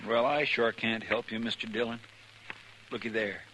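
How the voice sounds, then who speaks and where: A man's voice: on the low side, fairly even intonation, moderate pace, sharp tone. An actor playing a simple, folksy, baffled helper to a frontier lawman in a 1950s radio western. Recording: noisy vintage 1950s radio recording.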